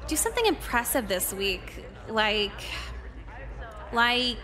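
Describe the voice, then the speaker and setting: A woman's voice: fairly high pitch, sing-song, takes it slowly, pushed and bright, soft background music, heavily acted. A woman voicing a brash, foul-mouthed teenage schoolgirl in a visual novel game, blunt and sneering. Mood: sardonic.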